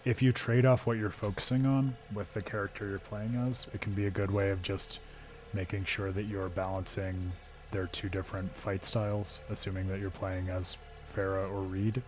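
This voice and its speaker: A hoarse man hosting a live game stream, talking through his thoughts in a thoughtful, digressive way with frequent restarts. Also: low-pitched. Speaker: streamer and host.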